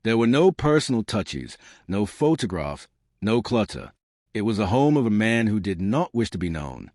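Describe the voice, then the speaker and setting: A male audiobook narrator tells a scripted mystery in the first person. His voice sits fairly low and moves expressively, recorded close and dry. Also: big pitch swings, normal speed, a little mellow, no echo.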